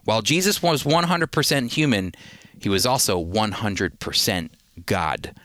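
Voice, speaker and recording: A man's voice: lowish voice, unhurried, fairly bright tone, dry recording. A man teaching the Bible in an earnest, emphatic way, often repeating a word as he starts a point.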